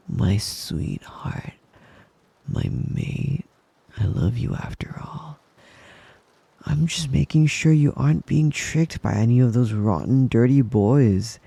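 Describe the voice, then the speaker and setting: A man playing a possessive, doting young wolf-boy lover in intimate ASMR roleplay, coaxing and teasing in a breathy, husky voice that often drops to a whisper. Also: mid-range voice, mellow, dry recording, heavily acted. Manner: slow, coaxing, teasing endearments.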